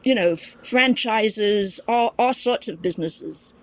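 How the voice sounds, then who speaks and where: Woman from thailand on a podcast, unhurried storyteller, mid-pitched voice, trails off and restarts a lot. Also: poor audio. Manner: reflective, storytelling with frequent restarts. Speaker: podcast guest, memoirist. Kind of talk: casual conversation.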